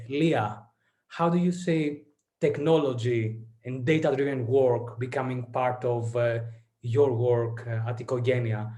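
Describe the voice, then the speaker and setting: A man from Greece hosting a webinar over a video call, speaking in a measured way with frequent "uh" pauses. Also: medium pitch, warm tone. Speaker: host. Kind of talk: webinar moderating.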